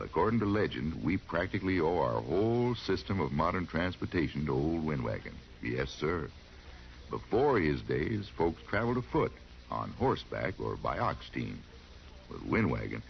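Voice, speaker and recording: A man's voice: rumbling low, gravelly. A man with an American accent telling a scripted tale on 1950s radio in a folksy, conversational way. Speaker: storyteller. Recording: dull, slightly noisy 1950s radio recording.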